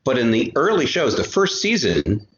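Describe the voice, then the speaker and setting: A man speaking as an interview guest, explaining things casually in a halting way with repeated words. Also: medium pitch, very animated. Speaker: guest, audio drama creator and musician. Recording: roomy, dull, noisy remote connection.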